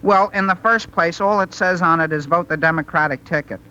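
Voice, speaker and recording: Teenage boy, high-pitched, sharp bright tone, eager, pleading, stammering, 1930s radio comedy acting. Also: a little fast, highs cut off. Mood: earnest, wheedling. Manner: stammering, wheedling, earnest.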